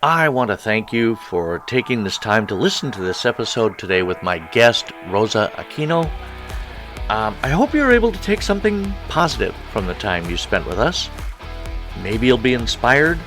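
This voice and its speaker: A man hosting a podcast, talking in an earnest, halting way and often repeating his words. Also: medium pitch, very animated, a bit bright, faint music behind. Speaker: podcast host. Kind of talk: conversational interview.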